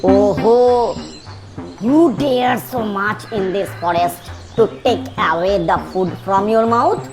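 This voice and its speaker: A woman's voice: mid-range voice, takes it slowly, lightly acted. A woman voicing a sly, cheeky, hungry fox in a children's cartoon, theatrical and scheming. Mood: mock concern. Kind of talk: children's cartoon character dialogue. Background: music behind the voice.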